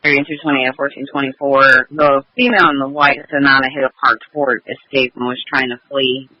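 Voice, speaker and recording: Mid-pitched man on muffled police radio. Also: steady tone, brisk, dry recording. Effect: police radio transmission. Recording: dull police scanner radio.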